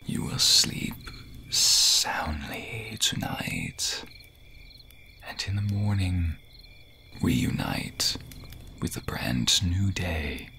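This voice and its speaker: A man voicing a gentle, grateful snake-man guardian in an ASMR roleplay, speaking in a breathy whisper with formal, archaic phrasing. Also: sits low, big pitch swings, extremely slow, pauses often, exaggerated voice. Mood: soothing, protective.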